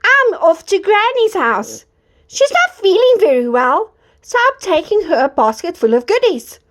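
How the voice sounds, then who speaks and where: A woman's voice: extremely high pitch, sing-song, measured pace, voice pushed up, full character voice. Woman doing a wide-eyed little girl. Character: small innocent young girl.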